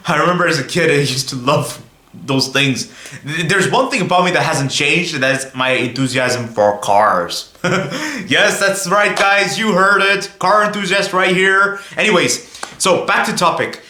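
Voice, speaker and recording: A young man from Belgium gives a casual vlog monologue about cars. He talks in a chatty, rambling way and keeps calling out to his viewers. His voice is bright and a little high, and it rings in a roomy space. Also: unhurried. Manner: chatty and rambling, often says "guys". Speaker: car vlogger.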